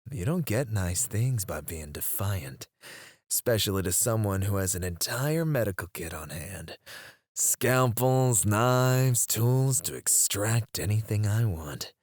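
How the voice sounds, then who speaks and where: A man playing a menacing, teasing kidnapper in an ASMR roleplay, drawling his taunts and dropping into hushed murmurs close to the microphone. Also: fairly low pitch, expressive, measured pace, no echo. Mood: taunting, cruel. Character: menacing, teasing male kidnapper interrogating a captive. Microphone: close studio microphone.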